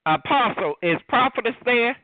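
A man's voice: very high voice, lively intonation, moderate pace. A man hosting a church phone broadcast, urging the listeners to clap and give God praise. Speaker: host. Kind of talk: sermon call hosting. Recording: tinny phone line with crowd.

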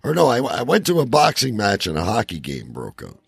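A man with a gravelly, husky voice, bantering irreverently and sarcastically as a sweary comedy podcast co-host. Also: on the low side, huge pitch swings, brisk, dry recording, clean studio sound. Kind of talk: irreverent casual banter about odd news.

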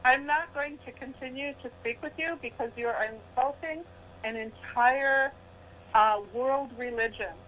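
A woman's voice: high voice, unhurried, a bit bright, slight background noise. A woman psychologist, a guest in a heated radio debate, firm and indignant as she talks over interruptions. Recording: dull lo-fi radio audio.

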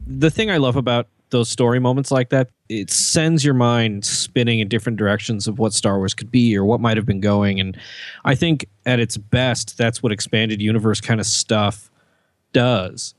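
A man shares analysis on a podcast in casual conversation. His voice is of middling pitch. The sound is close, with almost no room in it. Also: fairly even intonation, moderate pace, no echo. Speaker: podcast panelist.

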